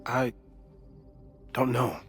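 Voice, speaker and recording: A calm, analytical man in a story-driven video game. His voice sits at a middle pitch, and he speaks with careful, formal precision. Quiet music runs behind him. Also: very slow. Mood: resigned. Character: calm, cautious, analytical scientist-minded man, loyal friend. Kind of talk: naturalistic video game drama acting.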